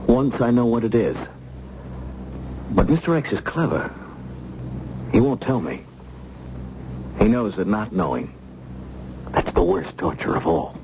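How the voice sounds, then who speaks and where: An actor playing a tense, secretive government agent and devoted husband in a radio drama. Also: male voice, on the low side, animated, unhurried, long pauses. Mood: grave, guarded. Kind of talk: 1970s radio drama acting. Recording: dull lo-fi radio recording, loud noise.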